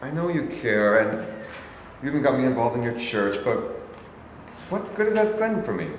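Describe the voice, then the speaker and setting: An actor as a bitter ex-boxer. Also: male voice, mid-range voice, big pitch swings, normal speed, a little hiss or hum, close to an everyday voice. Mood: defensive. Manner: defensive, flares up angrily. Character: bitter former boxer, a young man gone stale. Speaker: actor in a staged reading. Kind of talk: staged play reading. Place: large rehearsal studio. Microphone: far camera. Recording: roomy echo from a far camera.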